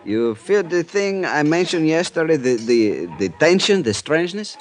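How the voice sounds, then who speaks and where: An actor with a Spanish accent playing a genial, sly Venezuelan police chief in a golden age radio drama, leisurely and teasing. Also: male voice, fairly high pitch, sing-song. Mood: musing, sly. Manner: leisurely, teasing, courtly, halting phrases.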